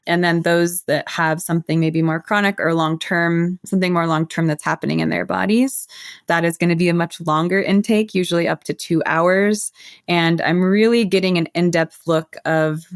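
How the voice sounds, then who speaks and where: Earnest American woman herbalist, lower register, close dry mic. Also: fairly even intonation, normal speed, no room sound. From United States. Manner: earnest, flowing explanation with many "like" fillers. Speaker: herbalist guest. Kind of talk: casual podcast interview.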